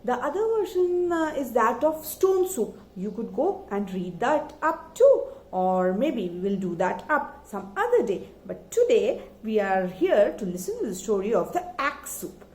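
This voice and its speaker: A woman's voice: fairly high pitch, very animated, measured pace, hardly any pauses, a little mellow, roomy sound. A woman telling a story to children in a dramatic style, often putting questions to her listeners.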